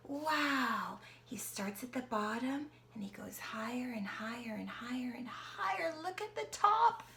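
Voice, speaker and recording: Animated woman reading an alphabet book. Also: fairly high pitch, a little slow, gravelly, slight background noise. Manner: playful and animated, dropping to whispers. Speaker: aunt reading to her niece. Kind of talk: reading a picture book aloud to a child.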